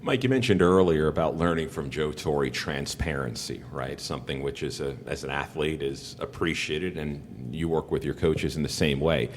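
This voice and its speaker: A man's voice: extremely low pitch, moderate pace, hardly any pauses, a little hiss or hum. A man asking questions as a podcast interviewer and sports broadcaster.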